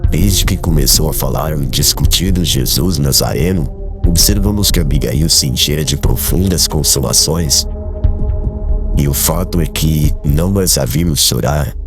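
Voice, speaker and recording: Male actor as kindly older man, very deep voice, solemn, measured, formal audiobook drama, faint music behind. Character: Zacarias, kindly grave older man, gentle host.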